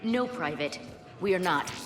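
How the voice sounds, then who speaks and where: Low-voiced, no-nonsense sergeant. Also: female voice, lively intonation, over music. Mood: businesslike. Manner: brisk military, authoritative. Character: no-nonsense female army sergeant briefing a private. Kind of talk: video game voice acting. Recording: echoey hall with clear ambient noise.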